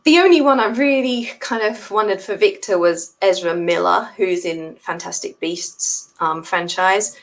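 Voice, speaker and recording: A woman's voice: medium pitch, unhurried, few pauses. A woman chatting casually about a book on a video call, in a tentative, thoughtful way. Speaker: read-along co-host, guest.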